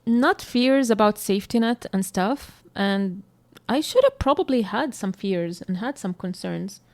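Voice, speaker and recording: Palestinian woman, candid and unhurried. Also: medium pitch, lively intonation, a little mellow. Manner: candid, searching for words, frequent fillers. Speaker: co-host. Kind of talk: casual podcast conversation.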